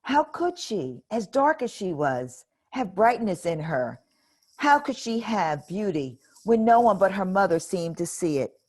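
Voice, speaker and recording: Slow, gentle woman reading a storybook. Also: mid-range voice, big pitch swings. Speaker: storybook reader. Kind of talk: children's picture book read-aloud.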